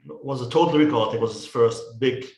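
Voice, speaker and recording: A man's voice: mid-range voice, fairly quick, a little mellow, roomy sound. A Dutch man with a Dutch accent, chatting casually about films and stumbling over words, repeating himself as he restarts his sentences. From Netherlands. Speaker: co-host of a movie review show. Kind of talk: casual film chat.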